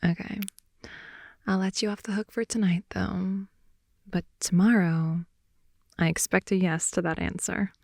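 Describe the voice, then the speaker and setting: Soft, creaky-voiced woman, intimate girlfriend ASMR. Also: on the low side, fairly even intonation, unhurried, long pauses, no room sound, clean studio sound. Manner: soft, often whispery, sighing, teasing. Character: playful, affectionate girlfriend rambling sleepily in bed. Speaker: ASMR roleplay performer playing a girlfriend. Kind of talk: improvised intimate ASMR roleplay. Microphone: close microphone, dry intimate sound.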